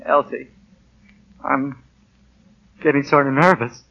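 A man's voice: medium pitch, steady tone, takes it slowly, vocal fry, muffled recording. A male actor playing an earnest, self-important husband in a 1940s American radio comedy, stammering and fussy, turning declamatory when he makes a speech. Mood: nervous. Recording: vintage 1940 radio broadcast recording.

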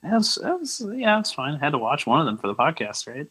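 A man's voice: fairly high pitch, huge pitch swings, fast talker, pushed and bright, breathy edge. A man co-hosting a podcast, riffing sardonically in casual comedic chat. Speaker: podcast co-host.

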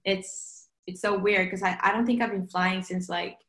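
A woman's voice: medium pitch, fairly even intonation, moderate pace, fairly bright tone. Chatty young norwegian woman, echoey room. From Norway. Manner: chatty, says "like" constantly. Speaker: singer being interviewed. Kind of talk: casual interview chat.

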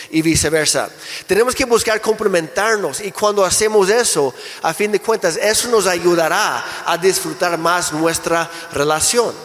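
High-voiced man preaching in an echoey hall. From Canada. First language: English. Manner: relaxed, joking, with restarts. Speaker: pastor. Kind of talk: sermon. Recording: echoey hall with long reverb.

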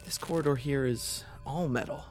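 A man's voice: mid-range voice, moderate pace, soft background music, natural voice. A man acting a wary, pragmatic military officer stranded on a hostile ship in a science fiction audio drama, terse and dry as he talks to himself. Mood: guarded, reporting. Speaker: lead actor in audio drama.

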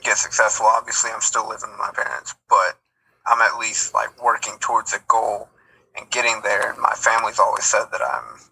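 Young man, 19, husky, gravelly, hesitant, casual. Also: lowish voice, brisk, sharp tone, rough recording. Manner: hesitant, lots of fillers. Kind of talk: casual chat.

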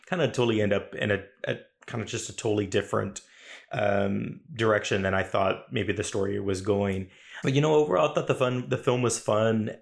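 A man's voice: fairly low pitch, vocal fry. A man hosting a podcast interview, talking in a friendly, hesitant way with many fillers and restarts. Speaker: podcast host. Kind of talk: casual interview chat.

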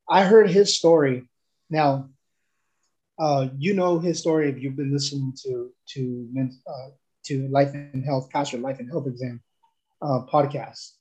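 A young man from El Salvador tells his own story in a loose, rambling way, often stopping to restart. His voice sits a little high but sounds mellow and warm. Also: rough recording. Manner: rambling, with many fillers and restarts. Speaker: guest giving a testimonial. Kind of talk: casual testimonial chat.

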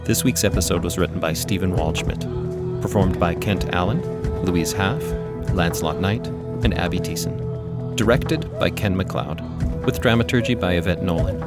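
A man's voice: deep voice, moderate pace. A man reading scripted podcast credits as the announcer. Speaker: announcer and sound designer. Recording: loud theme music behind. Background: loud music.

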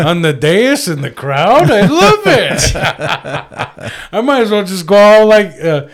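Man, podcast host, brash, swears, jokey, animated pitch. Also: slow pace. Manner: brash, joking, swears a lot. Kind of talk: casual banter.

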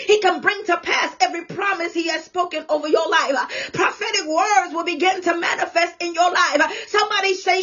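High-pitched woman preaching fervently. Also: a bit bright. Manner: emphatic, repetitive, exclamatory, with frequent interjections. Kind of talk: fervent charismatic sermon. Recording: dull, lo-fi audio.

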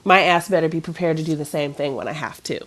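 Woman, 30s, stuffy nasal voice, rambling casual chat, lower pitch. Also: fast, fairly bright tone. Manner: rambling, lots of fillers and restarts.

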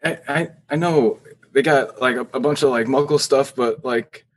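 A man's voice: medium pitch, normal speed, a little hiss or hum, natural voice. A man playing an anxious, pleading young wizard in an improvised comedy sketch, stammering and repeating his words.

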